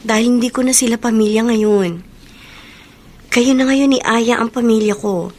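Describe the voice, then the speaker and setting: High-voiced, emotional young mother, acted by a woman. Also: moderate pace, darkish tone, close to an everyday voice. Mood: subdued, apologetic. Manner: emotive, heartfelt. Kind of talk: Filipino radio drama acting.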